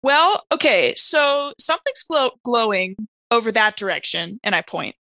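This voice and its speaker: A woman with a fairly high, bright voice plays a tabletop game. She talks informally and with animation, slipping in and out of character, recorded dry and close. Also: big pitch swings, normal speed, vocal fry, no room sound, low-quality recording. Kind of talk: casual in-game chat, half in character.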